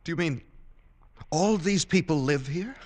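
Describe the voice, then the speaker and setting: A man's voice: fairly high pitch, lively intonation, unhurried, a little mellow, no room sound. An actor in a vintage radio drama playing an earnest, troubled man confiding in his diary. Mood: troubled, protesting. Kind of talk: 1940s-50s radio drama acting with diary narration. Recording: old radio broadcast with music behind.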